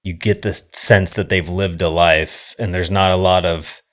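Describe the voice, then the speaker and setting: A man with a low, deep voice talks through films in a casual discussion. His delivery is halting and wandering, with thoughts often left hanging as he starts the next one. He sounds like a podcast co-host chatting informally. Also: fairly even intonation. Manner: halting, rambling, trailing off mid-thought.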